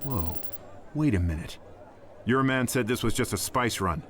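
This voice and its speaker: An actor playing a cocky, impatient smuggler pilot in a video game, talking in a wry, grumbling, informal way. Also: male voice, medium pitch, expressive, moderate pace, fairly bright tone, slight background noise, lightly acted. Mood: wary, resigned. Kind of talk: dramatic video game acting.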